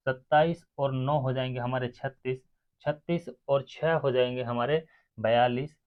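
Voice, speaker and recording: A man teaching maths step by step, addressing his students directly and counting sums out aloud. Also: mid-range voice. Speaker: maths teacher for exam aspirants. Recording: muffled, phone-like narrow sound.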